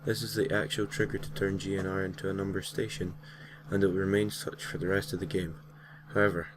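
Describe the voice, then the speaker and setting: A man's voice: low-pitched, background noise. A man narrating a gaming story in an informal way, reading from a script with casual, slightly hesitant asides. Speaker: host and narrator of a gaming creepypasta series.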